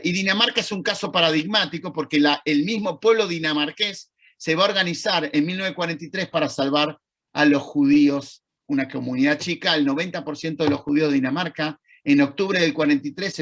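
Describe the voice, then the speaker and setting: A man with an Argentine accent giving an online talk, conversational and thoughtful, pausing to search for words. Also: fairly high pitch, creaky, a bit bright. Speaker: lecturer. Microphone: video call.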